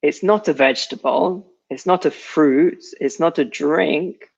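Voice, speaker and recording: A man with a fairly high voice teaching English at a very slow, deliberate pace, patiently correcting pronunciation and slipping in jokes. The sound is dull and lo-fi, like a streamed lesson. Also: creaky. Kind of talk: casual live English lesson.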